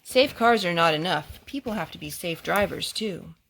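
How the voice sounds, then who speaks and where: A woman's voice: fairly low pitch, expressive, moderate pace, vocal fry, a bit bright, exaggerated voice. A woman voicing a kindly, practical male mechanic from a children's storybook, explaining things plainly and reassuringly. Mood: patient, explanatory.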